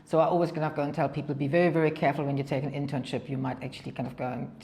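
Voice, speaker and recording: German-accented woman with a lowish voice. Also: little pitch movement, racing, mellow. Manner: talks in long anecdotes, often says "kind of go and", repeats words. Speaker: venture capital partner, guest. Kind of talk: interview storytelling.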